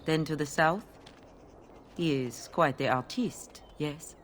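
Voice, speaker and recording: A posh coaxing scent merchant in a fantasy game, low voice, faint noise. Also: female voice, takes it slowly. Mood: haughty, helpful. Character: genteel, coaxing Orlesian female perfume seller. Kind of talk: fantasy video game dialogue acting.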